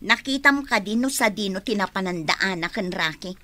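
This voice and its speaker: A woman acts out a grumbling caretaker in a radio drama, fretting that she will be blamed. The voice is bright and lively, recorded close and dry. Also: mid-range voice, moderate pace, no echo. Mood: fretful. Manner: grumbling, fretful.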